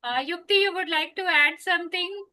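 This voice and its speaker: A woman's voice: very high-pitched, moderate pace, pushed and bright. A woman from India serving as a jury member in an online session, cheerful and laughing often.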